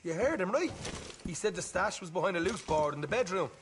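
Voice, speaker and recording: A man's voice: sits high, animated, brisk, background noise. A man playing a cocky, eager young male outlaw in a video game, brash and boastful in manner. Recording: loud music behind the voice.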